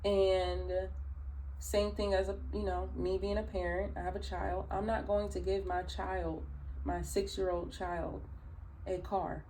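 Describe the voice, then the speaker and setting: A woman with a raspy early-morning voice, chatting through a tarot reading with many fillers and asides. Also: mid-range voice, level tone, measured pace, mellow, slight background noise, poor audio. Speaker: tarot reader. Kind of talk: casual spoken tarot reading.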